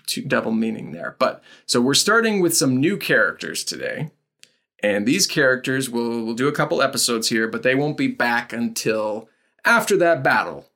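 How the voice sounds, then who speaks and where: A man's voice: medium pitch, sing-song, moderate pace, sharp tone. A man hosting an actual play podcast as dungeon master, easygoing and joking as he leads the table. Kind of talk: casual podcast chat, hosting a tabletop game.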